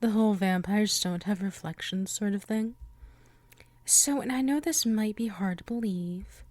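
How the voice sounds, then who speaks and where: A woman's voice: mid-range voice, a little slow, a little mellow, no echo, close to an everyday voice. A woman playing a coy, possessive female vampire in an ASMR roleplay, coaxing the listener with chatty, teasing politeness. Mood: coy, confiding.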